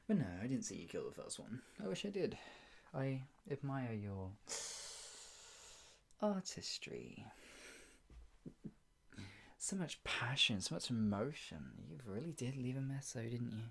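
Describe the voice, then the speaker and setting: A performer playing a sadistic, obsessive yandere captor in an improvised ASMR roleplay, creaky, taunting and drawn out, slipping between talk and whispers. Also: medium pitch, sing-song, full character voice. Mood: mocking admiration. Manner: taunting, drawn-out delivery with frequent giggles and whispers.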